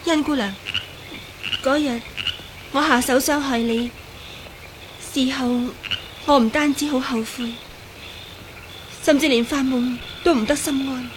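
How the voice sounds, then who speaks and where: A woman's voice: sits high, fairly even intonation, a little slow, long pauses, noisy background. An actress playing a composed young swordswoman in a radio drama, speaking formally and earnestly with contrition. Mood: contrite. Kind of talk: Cantonese radio drama acting.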